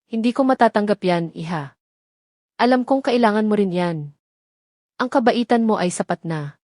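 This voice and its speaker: A woman's voice: medium pitch, normal speed, a little mellow, dry recording, clean studio sound, lightly acted. Elderly provincial woman, played by a female narrator, humble, weary and grateful, for audio drama. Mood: gently refusing. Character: tired, grateful old woman in her seventies from the province.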